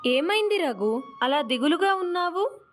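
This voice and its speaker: A woman's voice: very high-pitched, sing-song, measured pace, slight background noise, heavily acted. A woman playing a tender village mother in a cartoon story, soothing and encouraging as if to her son. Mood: tender reassurance. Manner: soothing, reassuring. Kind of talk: Telugu children's cartoon dialogue.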